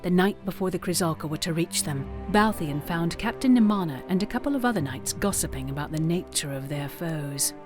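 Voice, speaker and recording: A solemn woman as storyteller narrates a fantasy epic with measured drama. Her voice is a little low, and music plays clearly behind her. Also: animated, lightly acted. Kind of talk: scripted narration for audio drama.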